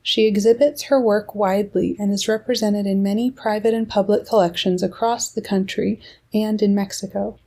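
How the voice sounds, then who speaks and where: A woman with a mellow, warm voice reads a guest introduction for her podcast. Her delivery is measured and steady, clearly from a script. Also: mid-range voice. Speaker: podcast host.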